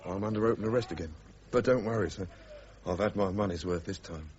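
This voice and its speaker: An actor playing a blunt, loyal career army gunner, respectful to officers but outspoken, in a mid-century British radio drama. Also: male voice, low voice, fast, darkish tone, lightly acted. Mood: wry, loyal. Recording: dull old radio recording, noisy.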